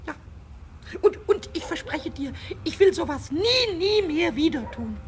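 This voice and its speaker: A very high, childlike imp boy in a children's radio play. He repeats words excitedly and pleads in swooping tones, with faint noise behind him. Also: measured pace. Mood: contrite, pleading. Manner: stammering repetitions, pleading, rhyming. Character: small contrite, excitable kobold imp, childlike male sprite.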